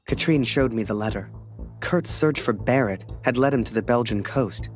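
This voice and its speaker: An actor playing a weary, disillusioned young war photographer, narrating a game story in a rueful, introspective way with music behind. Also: male voice, mid-range voice, moderate pace, natural voice. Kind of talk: reflective first-person game narration.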